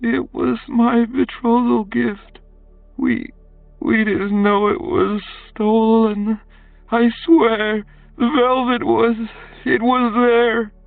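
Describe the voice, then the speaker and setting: Timid young beggar wife for an audiobook, played by a man: very high, slow, stammering and pleading, thin phone-like sound. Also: little pitch movement, gravelly, no echo, recorded from a distance, faint music behind, full character voice. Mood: frightened, pleading. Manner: halting, stammering.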